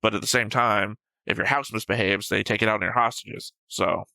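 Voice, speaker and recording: A man's voice: lowish voice, fairly even intonation, a little fast, vocal fry, bright, forceful tone, no echo. A man co-hosting an anime reaction show, talking through episode notes casually and bluntly, with some swearing.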